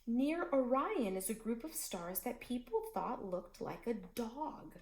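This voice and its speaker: A woman reading a children's picture book aloud as a teacher, in a slow and expressive storytelling manner. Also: on the high side, reverberant.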